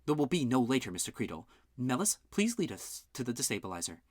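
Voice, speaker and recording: A narrator voicing a regal queen who speaks formally, in a measured and polite tone. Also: medium pitch, big pitch swings, darkish tone, no echo, studio quality. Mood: commanding.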